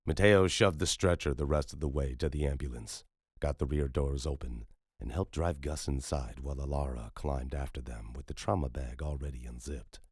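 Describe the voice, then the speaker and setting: A man with an extremely deep voice narrates horror fiction. His tone is husky and rough, and the sound is very dry and close, like a scripted audiobook. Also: normal speed, a little mellow, no echo.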